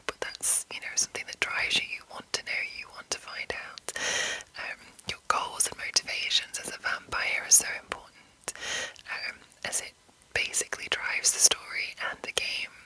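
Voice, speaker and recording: Lady whispering asmr style, breathy n bright, just rambling along explaining stuff informally, no rush. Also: normal speed, rough recording. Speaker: ASMR host.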